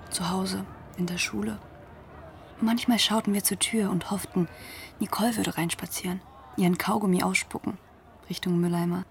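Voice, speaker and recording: A woman's voice: on the low side, creaky, slight background noise. A woman narrating a radio drama in a scripted, dramatic storytelling style. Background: music.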